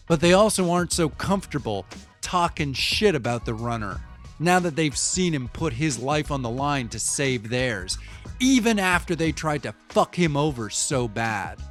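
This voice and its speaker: A man's voice: on the high side, a bit bright. A man playing a rattled, defensive young eyewitness in an audio drama, telling his story in a casual, slangy, confiding way. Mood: confiding, skeptical. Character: rattled, defensive young male eyewitness, street-talking and wry. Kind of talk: first-person audio fiction monologue, conversational storytelling.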